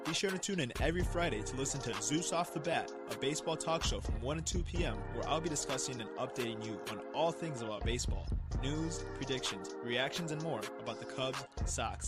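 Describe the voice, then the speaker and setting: Male sports host promo, quick read, medium pitch, loud music bed. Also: lively intonation. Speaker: sports talk show host. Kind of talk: scripted radio promo.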